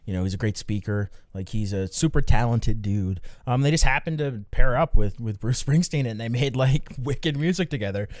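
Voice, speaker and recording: A man's voice: mid-range voice, animated, normal speed, hardly any pauses, a little mellow, dry recording, studio quality. A young man co-hosting a comedy podcast, teasing his friend, swearing and laughing often. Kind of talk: casual comedic chat.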